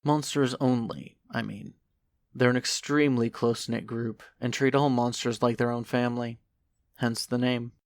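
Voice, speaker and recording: A man playing a lazy, laid-back skeleton who makes puns in a fan audio drama, talking in a casual, unhurried, slangy way. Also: mid-range voice, vocal fry, lightly acted.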